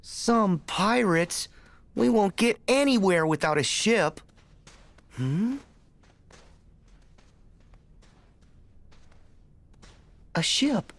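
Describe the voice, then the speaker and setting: A woman voicing a cheerful, eager teenage boy adventurer in a video game, excitable and playful. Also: medium pitch, slow. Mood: eager, curious. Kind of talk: video game cutscene acting.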